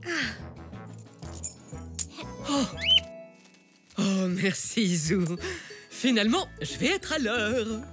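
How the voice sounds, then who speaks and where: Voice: mid-range voice, very animated, extremely slow, long pauses, over music. A performer voicing an affectionate adult zebra parent in a children's cartoon. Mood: relieved. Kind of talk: French children's cartoon dubbing.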